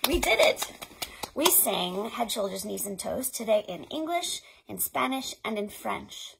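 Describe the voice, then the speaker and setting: A woman's voice: mid-range voice, very animated, a little slow, gravelly, background noise. An American woman in her thirties hosting a children's storytime, talking in an encouraging, instructional way to young children and parents. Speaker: children's librarian, storytime host. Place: small play tent indoors.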